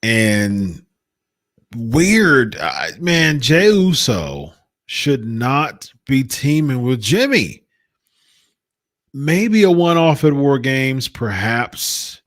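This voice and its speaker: A man's voice: mid-range voice, very animated, very slow, fairly bright tone, no room sound, studio quality. A man from the United States hosting a wrestling talk show, reading chat comments and repeating his points in an exasperated, emphatic way. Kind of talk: casual live-chat commentary.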